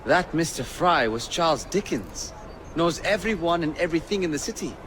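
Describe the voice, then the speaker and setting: A man playing a courteous, earnest shopkeeper spymaster in a video game, speaking in a measured, formal way. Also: a little high, animated, fairly bright tone, a little hiss or hum, low-quality recording. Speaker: mentor, shop keeper. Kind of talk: video game cutscene acting.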